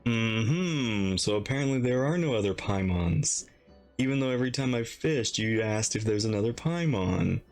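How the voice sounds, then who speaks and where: Female reaction streamer, casual and playful, deep for a woman, lively intonation, soft game music underneath. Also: normal speed.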